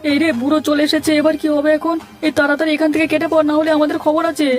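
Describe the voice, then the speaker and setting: A man's voice: very high voice, completely flat delivery, racing, faint music behind. A man voicing a cowardly petty chicken thief in a cartoon, hasty and panicky. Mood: panicked. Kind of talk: Bengali moral-story cartoon dubbing.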